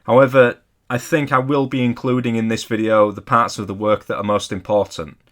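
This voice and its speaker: A man narrating a scripted lecture as an amateur hobbyist video host, in a measured, careful and modest explanatory manner. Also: mid-range voice, little pitch movement, fairly bright tone. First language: not Polish; English speaker.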